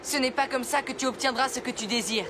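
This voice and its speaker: A woman voicing a brave, earnest young boy in an animated children's series. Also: sits high, fast, noisy background, lightly acted. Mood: earnest, firm. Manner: earnest, insistent. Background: background music.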